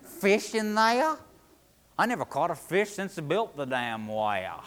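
A man's voice: mid-range voice, sing-song, moderate pace, fairly bright tone, a little hiss or hum. A man voicing a brash, scornful fisherman in a folk tale, blustering in salty rural talk. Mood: defiant, scornful. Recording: hall reverb, live-sounding room.

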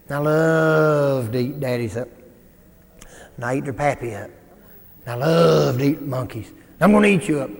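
A man voicing a huge, gluttonous bad bear in a folktale, chanting slowly and menacingly with growls. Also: on the high side, lightly acted. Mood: menacing, roaring. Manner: slow menacing sing-song chant, growling. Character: huge gluttonous bad bear bragging about eating people. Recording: echoey hall with long reverb.

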